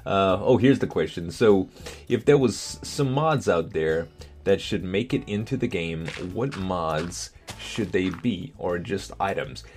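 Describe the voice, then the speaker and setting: Deep-voiced man in a relaxed chat. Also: unhurried, faint music behind. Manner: relaxed, hesitant, lots of ums. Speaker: gaming channel host. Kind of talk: casual viewer Q&A.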